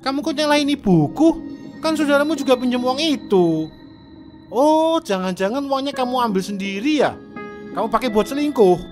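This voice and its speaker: A man's voice: very high voice, animated, normal speed, faint music behind. A man voicing a greedy, self-pitying blinded husband in a cartoon drama, talking in a whiny, defensive way. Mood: accusing. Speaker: Adun. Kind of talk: cartoon voice acting.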